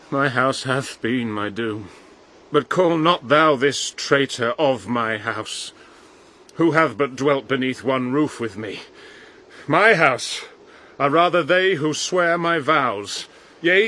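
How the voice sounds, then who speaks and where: A man's voice: mid-range voice, lively intonation, slow, pushed and bright. An actor playing a dying, grief-stricken noble king in a solemn, stately verse radio drama. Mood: proud, impassioned.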